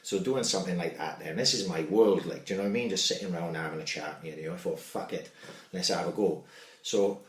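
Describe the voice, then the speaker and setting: Fast-talking, deep-voiced man. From Wales. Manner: sweary, rambling storyteller. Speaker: podcast host. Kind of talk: casual chat. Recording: echoey room, distant mic.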